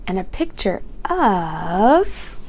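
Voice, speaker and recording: A young adult woman hosting a children's read-aloud channel, reading a picture book in a slow, gentle, sing-song storytime way. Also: medium pitch, big pitch swings, slight background noise.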